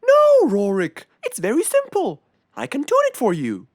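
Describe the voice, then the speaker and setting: A performer voicing an obliging, upbeat male fox handyman in a children's cartoon, with an animated, reassuring manner. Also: high-pitched, moderate pace. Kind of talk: children's cartoon character acting. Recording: music behind the voice.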